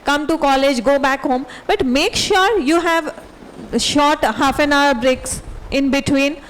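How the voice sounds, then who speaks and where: A woman speaks in a high voice, advising students directly and calling them her dear children. Her tone is motherly and firm. Faint noise sits under the recording. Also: slow, rough recording. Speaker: school principal on a panel.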